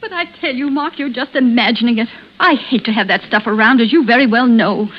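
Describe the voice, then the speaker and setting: An actress playing a nervous, helpless middle-aged wife in a fluttery, plaintive, hesitant way, in the style of vintage radio suspense. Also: female voice, on the high side, fairly quick, close to an everyday voice. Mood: anxious, pleading. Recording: muffled, noisy old radio recording.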